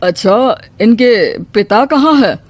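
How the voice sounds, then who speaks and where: A woman's voice: fairly high pitch, lively intonation, slow, heavy background noise. A woman voicing a concerned, practical village headman in a cartoon story.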